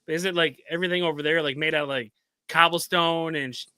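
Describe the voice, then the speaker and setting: A man's voice: on the high side, steady tone, fast, pushed and bright, clear, resonant tone, no echo. An American man hosting a casual interview show in an informal, rambling way. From United States. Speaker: talk show host.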